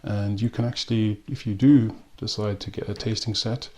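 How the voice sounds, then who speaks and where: A man from South Africa with a South African accent, reviewing a rum in a relaxed way with frequent pauses and ums. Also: on the low side. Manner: unhurried, with frequent ums and restarts. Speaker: spirits reviewer. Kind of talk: casual spoken tasting review.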